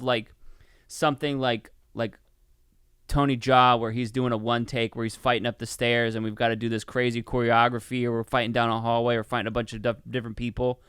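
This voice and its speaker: A man co-hosting a podcast, chatting casually in an excitable, rambling way with plenty of swearing. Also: medium pitch, clean tone, no echo, clean studio sound. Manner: excitable, profane, rambling enthusiast. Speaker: podcast co-host reviewing a film. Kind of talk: casual chat.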